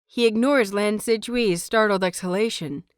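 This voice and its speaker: A woman reading a story as scripted dramatic narration, measured and deliberate, with dramatic pauses. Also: medium pitch, clean tone, dry recording, clean studio sound. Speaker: audio fiction narrator.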